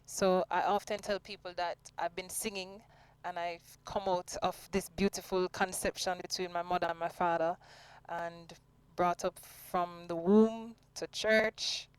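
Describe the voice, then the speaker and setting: Smooth, low-voiced Jamaican woman. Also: steady tone, measured pace, low-quality recording. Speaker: singer interviewed as guest. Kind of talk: conversational radio interview.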